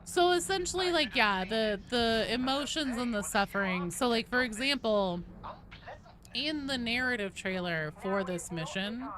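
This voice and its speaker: A woman livestreamer chatting to her viewers in a casual, rambling, animated way, with salty slang. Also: a little high, a little slow, a crowd in the background. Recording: clearly noisy background.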